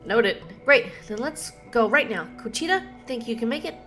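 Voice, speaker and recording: A woman's voice: a little high, lively intonation, moderate pace, sharp tone, soft background music. Blunt, informal female game streamer. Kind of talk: casual reaction commentary, reading game dialogue aloud.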